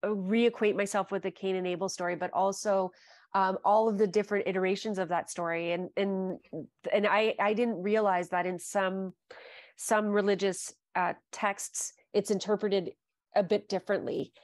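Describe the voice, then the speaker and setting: A woman's voice: medium pitch, steady tone, normal speed, darkish tone, no echo. Chatty woman host on a dry video call. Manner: chatty and gushing, with frequent fillers. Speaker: podcast host. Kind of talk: casual video-call interview. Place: home living room.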